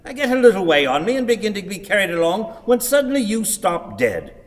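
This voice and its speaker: An actor playing an irascible, sardonic old blind husband in a radio play, grumbling and declaiming theatrically. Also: male voice, sits high, very animated, brisk. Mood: exasperated, furious. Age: older. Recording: reverberant hall.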